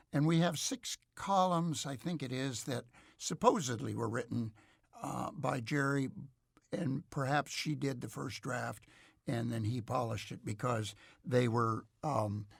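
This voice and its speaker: A man with a very gravelly, rough-edged voice talks as an expert guest in an interview. His phrasing is measured, halting and scholarly, and the sound is dry with little room. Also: mid-range voice, dry recording. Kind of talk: reflective interview discussion.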